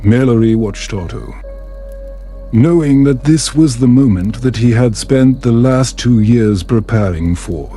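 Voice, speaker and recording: A man narrating a scripted bedtime story in a calm, soothing, measured manner. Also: mid-range voice, faint music behind. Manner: soothing, measured, unhurried delivery.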